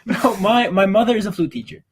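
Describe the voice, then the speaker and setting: Animated teenage boy hosting a podcast. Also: sits high, husky. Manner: animated, playfully teasing, quick bursts. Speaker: podcast host. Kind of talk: casual chat. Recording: amateur home podcast mic.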